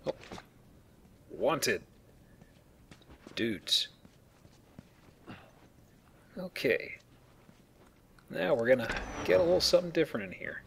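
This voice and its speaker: A man's voice: medium pitch, big pitch swings, slow pace, gravelly, pauses often, slight background noise. A man giving casual, joking commentary on a video game as he plays and explains it. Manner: joking, colloquial. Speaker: video game let's player.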